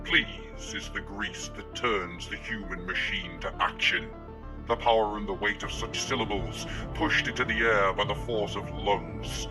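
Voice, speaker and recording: A podcast co-host doing a grand, declamatory narration for a cold open. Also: male voice, lowish voice, unhurried, voice pushed down, lightly acted. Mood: solemn. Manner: grand, declamatory, building to a shout. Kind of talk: dramatic spoken-word cold open. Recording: music playing underneath.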